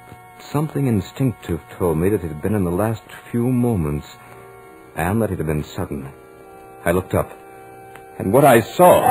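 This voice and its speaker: A man playing a scholarly gentleman lodger, narrating a haunted-house ordeal with dramatic, deliberate emphasis in 1940s radio style. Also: deep voice, poor audio. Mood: tense, suspenseful. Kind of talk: 1940s radio horror acting, first-person narration. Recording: vintage 1940s radio recording, music behind.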